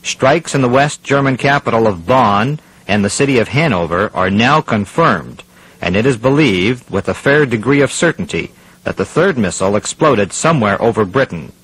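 A man's voice: mid-range voice, lively intonation, normal speed, a bit bright, breathy edge, poor audio. A man playing a serious radio news anchor, reading a formal scripted crisis bulletin. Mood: grave, formal.

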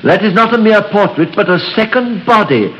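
A grave, solemn church elder. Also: male voice, high-pitched, expressive, a bit bright. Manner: slow, solemn, measured storytelling. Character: grave local church elder telling legends. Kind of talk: 1930s radio drama acting. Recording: dull, noisy old radio recording.

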